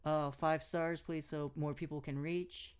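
A man's voice: fairly high pitch, flat delivery, measured pace, clear, resonant tone. A man hosting his own podcast, talking casually with lots of ums and restarts as he recaps and gives his views. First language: English. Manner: casual, many fillers and restarts. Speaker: podcast host. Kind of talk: solo podcast recap and analysis.

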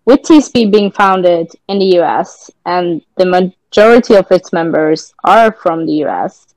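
Low-voiced Norwegian woman hosting. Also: unhurried, a little mellow, no room sound, poor audio. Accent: Norwegian-tinged British English. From Norway. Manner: chatty, filler-heavy. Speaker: podcast host. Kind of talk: casual chat.

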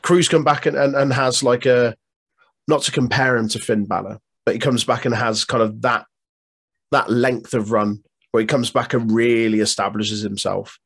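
A man chats casually as a podcast co-host. His voice sits at an ordinary middle pitch. He rambles, restarts sentences and swears and laughs easily. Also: steady tone, normal speed, a bit bright, husky. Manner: chatty, swears, laughs often, trails off mid-sentence. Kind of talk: casual chat.

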